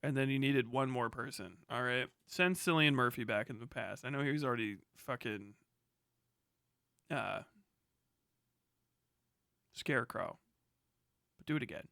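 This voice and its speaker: A man in his thirties chatting casually as a livestream host, swearing freely and riffing in a sarcastic, animated way. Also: medium pitch, measured pace, vocal fry, pauses often, no echo, studio quality. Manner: profane, animated, sarcastic ranting.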